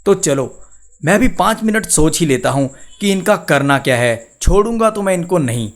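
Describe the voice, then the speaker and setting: A man's voice: high-pitched, lively intonation, normal speed, voice pushed up. A man voicing a poor, weary village labourer and husband in a cartoon story, speaking earnestly and plainly. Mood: grim. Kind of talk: Hindi cartoon story dialogue.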